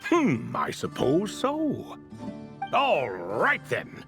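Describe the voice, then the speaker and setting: A slow, proud, theatrical man. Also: fairly high pitch, wildly swooping pitch, vocal fry, exaggerated voice. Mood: resigned, gentle. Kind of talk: dramatic video game voice acting. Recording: music under the voice.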